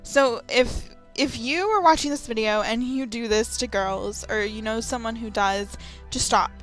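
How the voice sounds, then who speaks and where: A woman in her twenties speaking casually and unscripted to viewers, in an earnest, emphatic, halting way. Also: fairly high pitch. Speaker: gamer, video maker. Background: background music.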